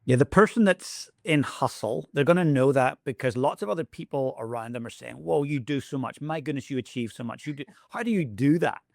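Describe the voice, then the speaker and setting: An Irish man, a high-performance coach, answering interview questions with steady storytelling explanations. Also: fairly quick, creaky, hardly any pauses, no room sound, studio quality. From Ireland. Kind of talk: interview guest.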